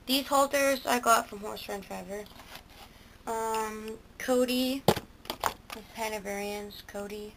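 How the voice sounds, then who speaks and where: A woman's voice: fairly high pitch, steady tone, slow pace, vocal fry, noisy background. A teenage girl giving a casual show-and-tell tour of her model horse stable, hesitant, with lots of ums and self-corrections. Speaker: toy horse barn video maker. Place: indoors at a homemade model stable. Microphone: handheld camera close to the toys.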